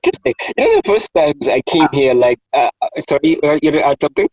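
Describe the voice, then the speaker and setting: A man's voice: a little high, sing-song, quick pace, a little mellow, no echo, low-quality recording. A man hosting a casual discussion over a remote call. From Kenya. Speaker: host.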